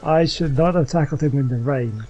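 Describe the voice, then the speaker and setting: A man's voice: a little high, moderate pace, dark tone, dull audio. A man giving casual, exclamatory gameplay commentary as a chatty, self-amused streamer. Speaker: gaming streamer. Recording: game music behind.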